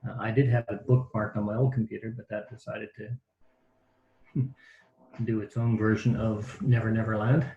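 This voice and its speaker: A man's voice: fairly low pitch, flat delivery, moderate pace, long pauses, a little hiss or hum. Man host, video call, rambling explanations, echoey room, dull lo-fi sound. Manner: rambling, self-deprecating explaining. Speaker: host of a caller training session. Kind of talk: casual online meeting chat.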